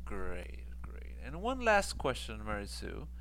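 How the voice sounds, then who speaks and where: A man's voice: lowish voice, wildly swooping pitch, vocal fry, a bit bright, slight background noise. A man teaching an online English lesson, explaining patiently with many fillers. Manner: switches between Spanish and English, explains slowly with fillers.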